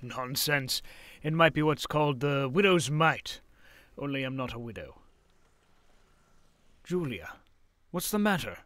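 An actor playing a kindly, whimsical old professor with a theatrical, musing manner in period drama style. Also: male voice, mid-range voice, expressive, unhurried, long pauses, a bit bright, dry recording. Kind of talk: period radio-drama acting.

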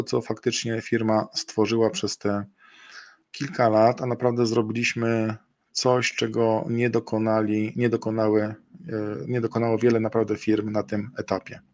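A middle-aged man presents a webinar on a video call, in a fairly low and quite flat voice. His delivery is halting and full of fillers and restarts. The sound is dry and close. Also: no room sound. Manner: hesitant, many fillers and self-corrections. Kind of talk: screen-share webinar presentation. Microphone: webcam and computer mic on a video call.

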